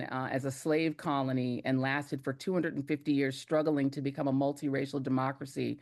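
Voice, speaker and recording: A woman giving political commentary on a panel, speaking in a measured, emphatic way. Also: very deep voice. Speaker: guest commentator.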